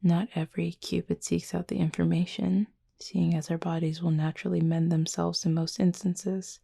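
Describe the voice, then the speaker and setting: A woman playing a gentle, wistful Cupid roommate in an intimate ASMR roleplay, speaking softly and slowly in a breathy near-whisper. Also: on the low side, flat delivery. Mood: calm, explanatory. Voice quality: soft, breathy, husky, often near-whisper. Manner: slow, measured, formal storytelling with soft pauses.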